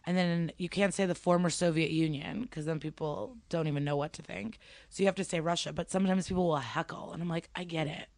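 A woman's voice: lowish voice, fairly even intonation, fairly quick, a little mellow, no room sound. A young woman from Ukraine, a stand-up comedian, chatting casually as a podcast guest and telling stories with many fillers.

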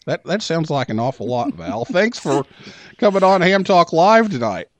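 A man hosting a radio talk show, chatting casually with a guest and pausing often on filler sounds. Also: fairly high pitch, sing-song, dry recording. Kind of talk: casual interview chat.

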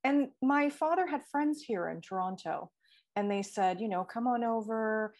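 A middle-aged woman from Italy, a guest in an interview, recalling her childhood in a measured, reflective way. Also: a little high, a little mellow. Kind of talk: conversational interview.

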